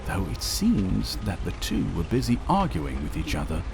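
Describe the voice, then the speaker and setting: A man narrating a scripted fantasy story in a dramatic, measured storytelling manner. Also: low-pitched, wildly swooping pitch, gravelly, noisy background.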